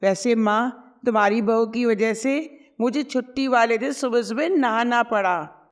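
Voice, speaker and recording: A woman's voice: on the high side, measured pace, close to an everyday voice. A woman voicing a sheepish young husband defending himself to his mother.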